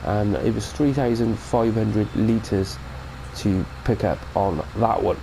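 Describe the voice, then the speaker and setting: A man's voice: lowish voice, fairly even intonation, takes it slowly, gravelly, warm tone, slight background noise, low-quality recording. A British man with a cold, giving a chatty, rambling mod review of a farming game and correcting himself as he goes. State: has a cold. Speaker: gaming channel host. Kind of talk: casual mod review commentary.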